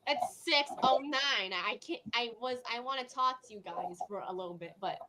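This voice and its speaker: A woman chatting casually in a halting way, often repeating her words and phrases. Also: a little high, bright, forceful tone, slight background noise. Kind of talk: casual chat.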